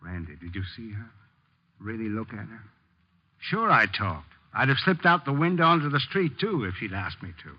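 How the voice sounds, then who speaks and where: Flustered, rueful older uncle. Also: male voice, on the low side, big pitch swings, moderate pace. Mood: sheepish. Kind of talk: 1950s radio drama acting. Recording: muffled old 1950s radio recording.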